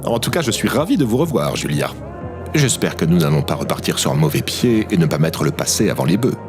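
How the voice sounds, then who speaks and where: A man's voice: sits low, big pitch swings, a little fast, music in the background. A man playing a sheepish, evasive former president in a comedic audio drama, hesitant and rambling. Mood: nervously affable.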